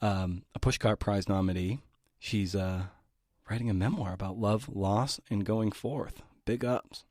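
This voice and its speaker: Guy hosting a podcast, lower voice, really dry close sound, loose and playful intros. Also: measured pace, a little mellow, no room sound. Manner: informal, playful asides. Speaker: podcast host. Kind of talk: introducing essays and authors.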